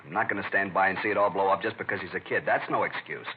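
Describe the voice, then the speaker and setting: Man acting a low-voiced, hot-tempered American father. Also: fast, close to an everyday voice. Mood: angry, demanding. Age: middle-aged. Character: stern, hot-tempered father at the end of his patience. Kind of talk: old-time radio crime drama acting. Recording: tinny, hissy vintage radio broadcast.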